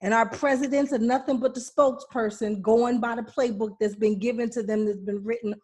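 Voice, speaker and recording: A woman's voice: fairly high pitch, fairly even intonation, fairly quick, a little mellow, breathy edge. A woman from Pittsburgh hosting a podcast, talking in a casual, teasing, affectionate way. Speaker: podcast host.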